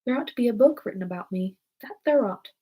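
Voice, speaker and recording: A woman's voice: on the high side, expressive, quick pace, mellow, slightly rough. A woman voicing a thoughtful little girl from a storybook, wondering and debating with herself.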